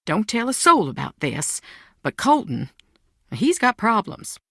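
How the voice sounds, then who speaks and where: A middle-aged mother for a video game. She is proud and guarded, with an anxious edge and a theatrical, highly expressive delivery. The voice is bright and forceful, recorded very dry. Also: measured pace, no room sound, heavily acted. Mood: earnest, worried. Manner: defensive, theatrical, emotionally expressive.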